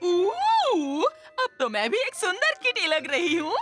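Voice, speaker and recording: Fussy cat lady, very high, wildly animated, cooing, singsong, cartoon. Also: slow, exaggerated voice. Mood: doting, fussy. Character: dotty, doting cat lady fussing over pets. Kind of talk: Hindi cartoon dub acting. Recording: music clearly behind the voice.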